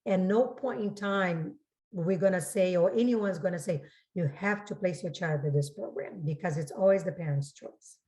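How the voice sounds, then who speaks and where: A woman working as a school registrar gives informal spoken explanations to parents, often repeating and rephrasing to be clear. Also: on the low side, moderate pace, dark tone, low-quality recording.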